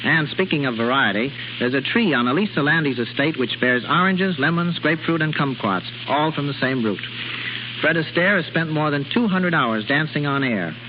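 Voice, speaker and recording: A man's voice: fairly high pitch, lively intonation, normal speed. Noisy old radio, American man reporting. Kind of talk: scripted 1930s radio trivia reading. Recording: muffled, noisy 1930s radio recording.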